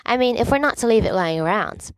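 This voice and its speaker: A woman's voice: fairly high pitch, expressive, fast, clear, resonant tone, no room sound, voice pushed up, lightly acted. A woman playing a mild, doubtful teenage boy sidekick in a storybook reading, in a plain, hesitant manner.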